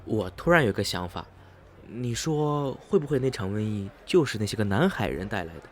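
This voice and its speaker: A man acting in a radio drama as an educated, rational traveller who speaks in a measured, inquisitive and sceptical way. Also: on the low side, lively intonation, slight background noise, close to an everyday voice. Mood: speculative. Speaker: actor in an amateur student radio drama.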